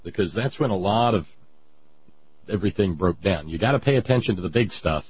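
Husky, sarcastic male talk-show host. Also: sits low, lively intonation, brisk, dull audio. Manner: sarcastic, rambling. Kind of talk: sarcastic political commentary.

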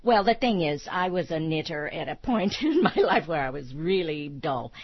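A woman's voice: low-pitched, very animated, a crowd in the background. A woman guest on a radio talk show who rambles and laughs easily as she tells her stories. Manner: chatty, rambling, quick to laugh. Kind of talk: casual talk radio chat. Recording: dull, slightly lo-fi radio audio.

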